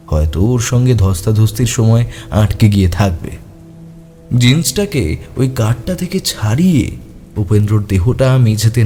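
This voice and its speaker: A man plays a young storyteller spinning a grim ghost tale for an audio drama. His voice is dark and mellow, with lively intonation over music. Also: mid-range voice. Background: background music.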